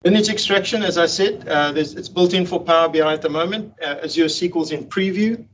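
Man, meetup presenter, slightly high voice, relaxed with ums, dull lo-fi call audio. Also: quick pace. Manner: relaxed, explanatory, frequent ums and restarts. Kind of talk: technical presentation over slides. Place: home room on a video call. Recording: dull lo-fi video call audio with faint hiss.

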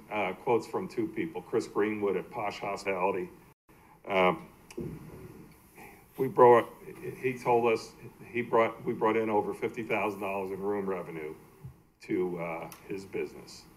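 An American man giving a slide presentation at a public meeting as a team executive, halting and plain-spoken. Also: fairly low pitch, slight background noise, low-quality recording. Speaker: baseball team executive presenting to a city council. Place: indoor council meeting room.

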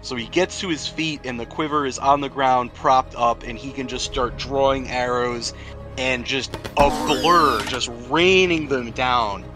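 A man's voice: mid-range voice, unhurried. Man running a tabletop game over music. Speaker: game master. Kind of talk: narrating a tabletop game, casual table talk. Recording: background music under the voice.

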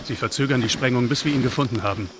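An actor plays a young man in a narrative video game, a practical and caring brother under worry. His voice sits at a middle pitch and sounds natural and earnest, with faint night insects behind. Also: racing, noisy background, natural voice. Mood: worried. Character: Gabe, caring, worried older brother, practical and reassuring. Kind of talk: dubbed video game acting.

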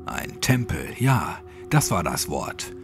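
A man's voice: low-pitched, very animated, slow, creaky, music in the background. A man reading a scripted horror story as an audiobook narrator.

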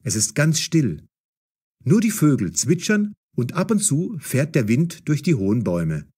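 A man narrating a scripted story with clear enunciation. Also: a little high, wildly swooping pitch, measured pace, mellow.

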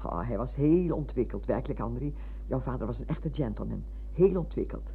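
A tinny, slightly noisy radio drama recording features a motherly older woman. She chatters effusively and wanders off the point. Her intonation rises and falls in a very lively way. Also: extremely low pitch, a little fast. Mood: fondly reminiscing. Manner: effusive, digressing storyteller. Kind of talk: radio play acting. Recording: narrow-band, noisy, humming old radio recording.

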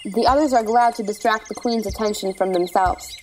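A woman's voice: medium pitch, a little fast, slight background noise. A woman narrator reading stage directions as scripted narration.

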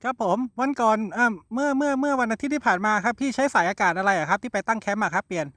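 A man's voice: high voice, lively intonation, racing, heavy background noise. A man in a casual amateur radio exchange, polite and hesitant, repeating his words. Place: outdoors in a garden.

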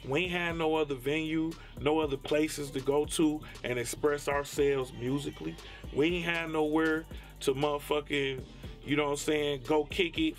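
A man from Detroit, a rapper, talking bluntly and profanely in a laid-back interview with a Detroit accent. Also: on the high side. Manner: laid-back, blunt and profane, many "you know what I'm saying". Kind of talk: candid interview talk.

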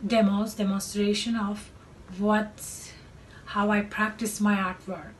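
A middle-aged woman with a Turkish accent presents her art in a slow, unhurried way. She often stops to search for the right word. She sounds far from the microphone in a cluttered studio, with faint room noise behind her. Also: medium pitch, flat delivery. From Turkey. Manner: slow, halting, searching for words. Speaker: artist. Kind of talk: artist's presentation to camera. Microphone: far camera.

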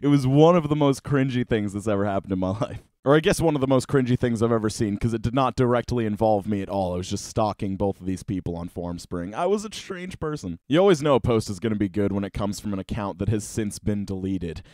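A man's voice: lowish voice, expressive, fast, hardly any pauses, a little mellow, dry recording, clean studio sound. A young man doing casual, sarcastic comedic commentary as a YouTube host, mocking and swearing freely. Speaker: YouTube host of a Reddit cringe show. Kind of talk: casual comedic commentary, reading posts aloud.

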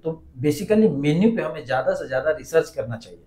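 A man's voice: fairly high pitch, lively intonation, fairly quick, warm tone, reverberant. A man in his thirties explaining business steps patiently as a business coach, numbering his points. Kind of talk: explaining step by step, advisory interview. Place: small office with a desk.

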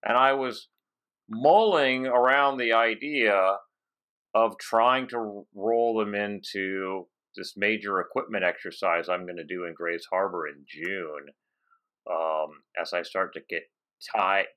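A man in his fifties hosting a casual technical interview on a headset from a home office, haltingly thinking aloud. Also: deep voice, fairly even intonation, a bit bright, clean tone. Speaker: podcast host. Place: home office with bookshelves, on a video call.

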